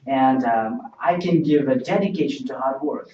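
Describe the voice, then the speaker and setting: A man's voice: medium pitch, moderate pace, dark tone, slight background noise. A young man whose first language is Uzbek, playing a job candidate in a mock interview and answering carefully in rehearsed English with many fillers. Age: young adult. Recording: distant, roomy, dull lo-fi sound.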